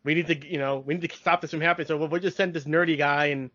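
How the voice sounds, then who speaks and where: A man co-hosting a podcast, recapping an episode casually in a hesitant, halting way. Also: fairly high pitch, steady tone, a bit bright, no room sound. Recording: dry, dull lo-fi audio.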